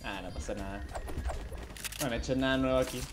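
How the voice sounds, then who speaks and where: A man's voice: medium pitch, fairly quick, very noisy. A man in his thirties with a Venezuelan Spanish accent, chatting playfully and teasing while streaming a game on a headset mic. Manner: playful, joking, lively and teasing. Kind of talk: casual gaming stream chat. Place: small home room indoors.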